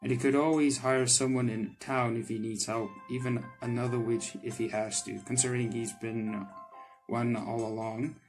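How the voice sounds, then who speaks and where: A man plays a visual novel candy maker, blunt yet caring. The voice sits at a medium pitch with a dry, casual delivery. Soft music plays behind it. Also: fairly even intonation, moderate pace, close to an everyday voice, low-quality recording.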